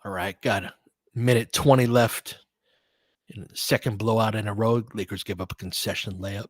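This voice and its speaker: A man's voice: fairly low pitch, fairly even intonation, normal speed, no room sound, studio quality. A man giving casual live basketball play-by-play and fan analysis, talking conversationally and thinking aloud in fragments.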